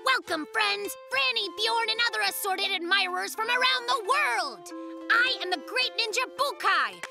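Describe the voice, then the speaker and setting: An actor voicing an excitable, boastful little polar bear cub who fancies himself a ninja, in a theatrical children's cartoon style. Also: very high-pitched, normal speed, vocal fry, over music, heavily acted. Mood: showy.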